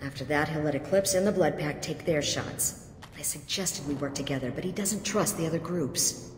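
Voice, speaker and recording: A woman voicing a worn-down mercenary lieutenant with a low voice. She talks frankly, with open resentment. The sound is roomy and reverberant, with faint noise behind. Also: normal speed, lightly acted. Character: female mercenary lieutenant, bitter, weary. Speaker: mercenary officer. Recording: echoey game mix.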